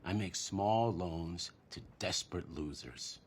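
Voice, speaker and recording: An actor playing a slow, cold, menacing male loan shark in a TV sitcom. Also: sits low, slight background noise. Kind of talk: TV sitcom acting.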